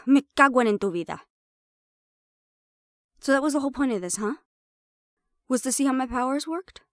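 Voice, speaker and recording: A woman's voice: sits high, expressive, moderate pace, a bit bright, no room sound. Sassy siren hybrid woman, close dry sound, acted. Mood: indignant, angry. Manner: sassy, sweary, conversational, slipping into Spanish. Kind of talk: roleplay audio drama acting.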